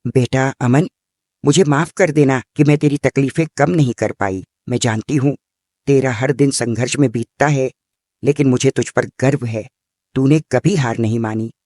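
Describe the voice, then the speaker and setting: A man's voice: mid-range voice, normal speed, warm tone, no room sound, clean studio sound, natural voice. A man voicing a loving, dying old mother who speaks tenderly to her son in a cartoon story. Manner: tender, blessing.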